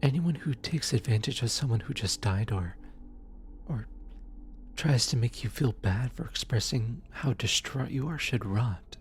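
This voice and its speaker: A man playing a gentle, apologetic demon who comforts lost souls in an ASMR roleplay, speaking softly and hesitantly. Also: medium pitch, unhurried, a little hiss or hum, natural voice. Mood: comforting, indignant. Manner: soft, soothing, hesitant with stammers. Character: gentle, apologetic male demon soul collector comforting the newly dead. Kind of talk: ASMR roleplay audio drama.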